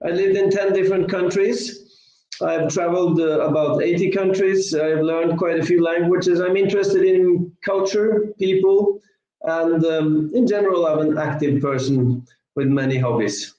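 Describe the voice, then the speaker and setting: A man from Norway speaks as a guest over a video call, and his voice sits a little high for a man. He explains things at length, stopping often to restart. The sound carries a noticeable room echo. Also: fairly even intonation, normal speed, mellow, highs cut off. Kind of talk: casual online interview.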